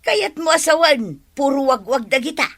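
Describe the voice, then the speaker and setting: A woman's voice: high-pitched, animated, normal speed, fairly bright tone. A woman with an Ilocano accent playing a suspicious, scolding village woman in a radio drama. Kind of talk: comedy radio drama acting.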